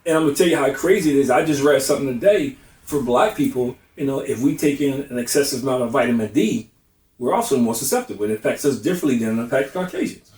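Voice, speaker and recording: Middle-aged man, group talk, earnest advice, quick delivery, heard from a distance in an echoey room. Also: medium pitch, animated, darkish tone. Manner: earnest, advising, emphatic. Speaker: discussion participant. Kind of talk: candid group discussion. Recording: roomy, distant mic.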